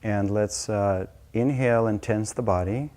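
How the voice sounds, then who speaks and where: Male meditation teacher, warm mellow tone, slightly low pitch, slow and gentle off-the-cuff guidance.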